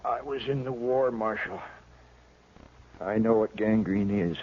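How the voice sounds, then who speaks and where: An actor playing an ailing, bitter settler father in a 1950s radio western, halting and folksy. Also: on the low side, slight background noise, natural voice. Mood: weary, resigned. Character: ailing, bitter frontier settler father, weakened war veteran. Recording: muffled vintage radio recording.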